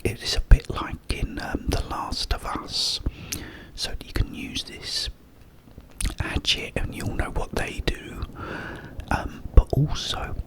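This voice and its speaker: Slow whispering man. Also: mid-range voice, low-quality recording. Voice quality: breathy, unvoiced whisper. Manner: halting, short phrases with pauses. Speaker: ASMR content creator. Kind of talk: whispered ASMR ramble about video games. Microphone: close-up microphone.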